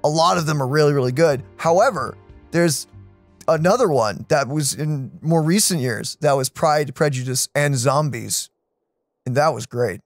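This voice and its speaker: A man's voice: fairly high pitch, moderate pace, no echo, faint music behind. A man co-hosting a let's play, giving casual, conversational gaming commentary with a joking manner.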